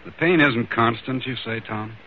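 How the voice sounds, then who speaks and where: An actor playing a stern but calm physician in 1940s radio drama, speaking with grave, measured authority. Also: male voice, mid-range voice, natural voice. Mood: probing. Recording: muffled, hissy old radio recording.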